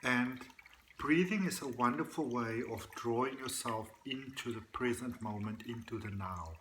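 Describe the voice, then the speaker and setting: Guy doing guided meditation, mid pitched voice, calm deliberate delivery, water trickle noise behind him. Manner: slow, deliberate, soothing delivery with repeated phrasing. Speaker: guided meditation practitioner and host. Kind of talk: guided meditation, speaking to the listener.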